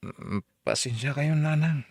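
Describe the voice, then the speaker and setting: A man's voice: a little high, a little slow, breathy edge, no echo. Man acting young Ilocano husband, recorded dry. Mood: sheepish. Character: defensive young husband, a college student under reproach. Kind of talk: Ilocano audio drama acting.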